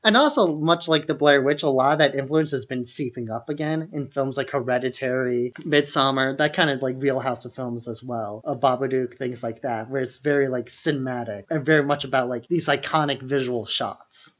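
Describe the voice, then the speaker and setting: A man's voice: mid-range voice, brisk, few pauses, fairly bright tone. A man co-hosting a podcast, chatting casually about films in a loose conversational way peppered with "like". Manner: conversational, heavy use of "like". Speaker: podcast co-host. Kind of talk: casual film discussion. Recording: dull lo-fi microphone sound.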